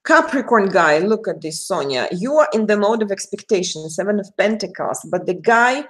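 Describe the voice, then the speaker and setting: A woman's voice: mid-range voice, animated, moderate pace, fairly bright tone. A woman with a Russian accent, a native Russian speaker, chatting casually on a live stream as a tarot reader, addressing viewers by name and laughing often.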